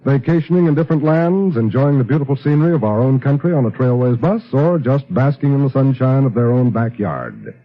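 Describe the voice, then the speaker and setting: A man's voice: lively intonation, brisk, hardly any pauses. An American man announcing in an earnest, scripted 1950s radio style. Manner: earnest salesman's pitch. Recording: muffled old radio recording.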